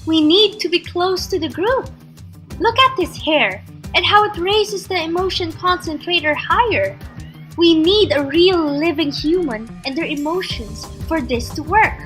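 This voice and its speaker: A woman's voice: extremely high pitch, animated, unhurried, a bit bright, faint music behind, heavily acted. A woman playing an irritable, scheming female alien in a theatrical audio drama.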